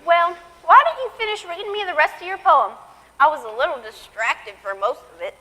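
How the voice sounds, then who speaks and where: A feisty old mother, acted by a woman in a stage comedy, speaking in a very high, bright voice. She is sassy yet tender, swinging widely in pitch, in a hall with long reverb. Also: lively intonation, fairly quick, heavily acted. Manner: wry, sassy, theatrical delivery.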